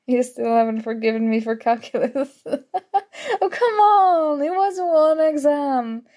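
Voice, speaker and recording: A woman's voice: sits high, animated, unhurried. A young woman acting in an ASMR audio roleplay as a prickly but grudgingly fond student, teasing and defensive. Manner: teasing, defensive, flustered, soft-spoken tsundere.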